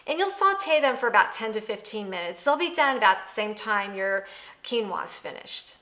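A woman's voice: medium pitch, pushed and bright. A woman in her thirties with an American accent, explaining a recipe step by step in a conversational way as a cooking show host. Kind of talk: instructional cooking demonstration. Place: home kitchen, indoors. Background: background music.

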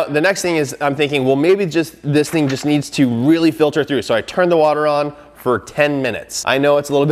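A man in his thirties, a professional car detailer, casually explaining a product test in his shop with frequent fillers and restarts. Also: mid-range voice.

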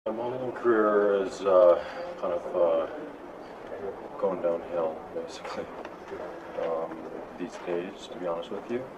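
A young man with a low, deep voice talks about himself with easy vanity and keeps falling back on fillers. The archival recording carries a loud hiss of ambient noise. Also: dull audio. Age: young adult. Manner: vain, self-assured, drawn-out fillers like basically. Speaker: interviewee, model. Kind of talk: interview answers.

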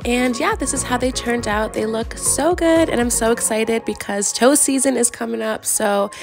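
A young woman vlogger chatting casually to camera with a stuffy, congested, raspy voice, gushing and filling pauses with "like" and "yeah". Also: a little high, loud music behind the voice. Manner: bubbly, gushing, many fillers like "yeah" and "like". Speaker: lifestyle vlogger.

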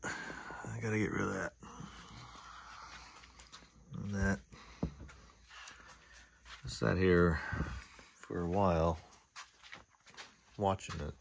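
A man casually talking through his boatbuilding project in a home garage workshop, relaxed and easygoing, recorded on a handheld phone. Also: low voice, very slow, gravelly, pauses often, slight background noise. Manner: relaxed, easygoing, thinking aloud.

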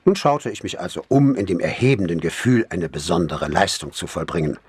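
Low, husky male audiobook narrator. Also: animated, fairly quick, darkish tone, no echo. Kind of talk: scripted first-person crime novel narration.

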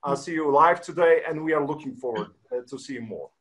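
Middle-aged polish guy on a video call, kinda high voice, lots of uh and pauses. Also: rough recording. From Poland. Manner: halting, many pauses and fillers. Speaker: caller. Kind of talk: casual chat. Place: home room.

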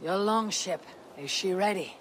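Video game Viking leader: resolute, terse, high-set voice, faint outdoor noise behind. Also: male voice, measured pace, a bit bright. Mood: grim resolve. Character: resolute male Viking warrior leader, grim and commanding. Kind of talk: video game cutscene acting. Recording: outdoor ambience noise with horse hooves.

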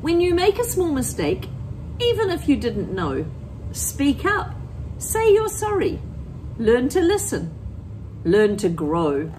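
A middle-aged woman teacher reading a picture book aloud to children, with deliberate, expressive phrasing, talking directly to her young listeners. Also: mid-range voice, a little hiss or hum, poor audio. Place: empty school classroom, indoors.

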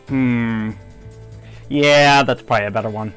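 A man giving casual, low-key gameplay commentary, musing to himself as he plays. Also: measured pace, fairly bright tone, clean tone. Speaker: gamer doing a playthrough. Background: game music.

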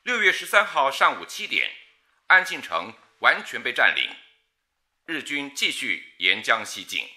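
An actor playing a solemn, urgent 1930s wartime radio announcer, reading a bulletin in a formal, declamatory way. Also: male voice, medium pitch, sing-song, measured pace, pushed and bright, full character voice. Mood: grave, urgent.

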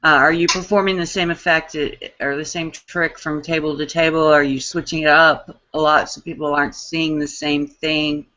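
A woman's voice: low voice, steady tone, moderate pace, few pauses, bright, forceful tone, muffled recording. A middle-aged woman interviewing a guest over a video call, chatting and reading out viewers' questions. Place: room at home, indoors. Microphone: webcam on a video call.

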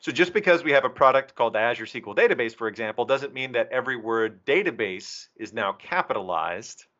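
A man's voice: mid-range voice, expressive, fairly quick, bright, forceful tone. Man presenting over a dull video call. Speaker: presenter from a software documentation team. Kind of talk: slide presentation, instructional walkthrough. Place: online video meeting.

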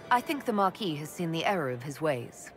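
A woman's voice: low voice, sing-song, a little fast, faint music behind. An actress playing a composed, diplomatic female elf mage in a fantasy game, formal and direct. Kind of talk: restrained video game dialogue.

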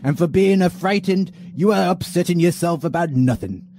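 A man playing a laid-back, cheeky servant who talks in a casual, deadpan way. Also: high voice, normal speed, music in the background. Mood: calm.

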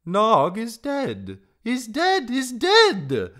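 A man's voice: sits high, huge pitch swings, measured pace, voice pushed up, heavily acted. A man voicing a small, feather-brained male songbird in a storybook, with an exultant, singsong delivery. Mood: triumphant.

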